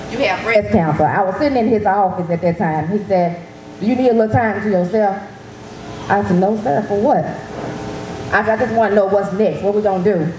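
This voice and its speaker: A woman gives a loud, lively personal testimony to an audience at an event. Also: medium pitch. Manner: loud, lively storytelling in casual Southern speech. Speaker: breast cancer survivor speaking at an event. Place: event hall. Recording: echoey hall with noise.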